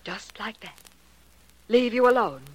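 A woman's voice: fairly high pitch, a little slow, breathy edge, low-quality recording. A woman playing a proud, possessive young scientist spurned in love, biting and dramatic, in 1940s radio drama style. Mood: bitter, threatening. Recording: vintage 1940s radio recording.

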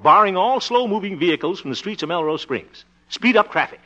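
Blustery smug schemer in old radio comedy, bright voice that swoops around, dull vintage sound. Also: male voice, sits high, expressive, fairly quick. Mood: eager. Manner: chuckling, blustery, muttering sly asides. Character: chuckling, scheming, self-satisfied small-town radio homemaker man. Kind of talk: 1950s radio situation comedy acting.

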